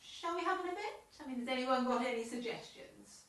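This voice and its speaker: A woman playing a brisk, officious club chairwoman in an amateur radio comedy, with a clipped, schoolmistressy manner. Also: high-pitched, animated, fairly bright tone. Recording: very echoey room, distant mic.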